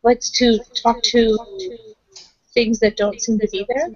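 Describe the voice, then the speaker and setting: High-voiced woman in casual tabletop roleplay. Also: level tone, unhurried, breathy edge, dry recording, muffled recording. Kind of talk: casual roleplay, in character and narrating.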